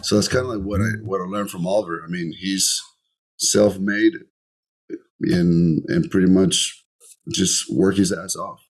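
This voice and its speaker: A man's voice: low-pitched, steady tone, unhurried, rough recording. A middle-aged man with a Colombian accent, talking earnestly as an interview guest and often repeating words as he searches for phrasing. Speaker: podcast guest, entrepreneur. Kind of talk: conversational interview.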